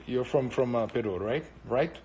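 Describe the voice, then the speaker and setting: A Brazilian man speaks English with a Brazilian Portuguese accent, Portuguese being his first language. He chats loosely through a live stream, answering viewers as thoughts come to him. He rambles and pauses often, filling gaps with plenty of hesitations. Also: mid-range voice, a little mellow. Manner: rambling, hesitant with many fillers. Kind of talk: casual live-stream chat.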